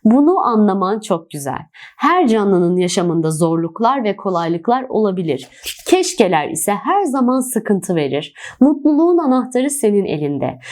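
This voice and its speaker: A woman voicing a gentle, wise rose fairy in a children's tale, kindly and instructive. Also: medium pitch, natural voice.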